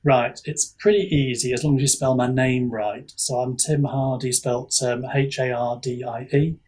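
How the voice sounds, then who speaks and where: A man with a husky voice in casual podcast chat as a guest author, rambling and self-deprecating, often halting and repeating himself. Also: mid-range voice, steady tone, darkish tone.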